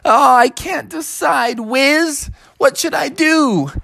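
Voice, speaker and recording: A woman doing a squeaky excitable bear cub. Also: slow, vocal fry, dry recording, heavily acted. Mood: fretting. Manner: high and wondering. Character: young male bear cub, curious and excitable.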